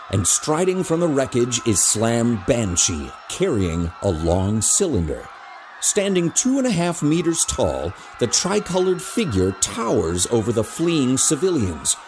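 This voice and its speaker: A man reading scripted narration for a superhero audio drama in a dramatic storytelling manner. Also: medium pitch. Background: music.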